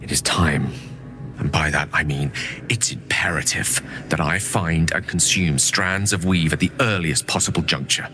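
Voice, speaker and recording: A man's voice: very deep voice, normal speed. An actor playing an earnest, genteel male wizard in a fantasy game, with a formal, wordy, courteous manner. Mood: grave, urgent. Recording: music under the voice.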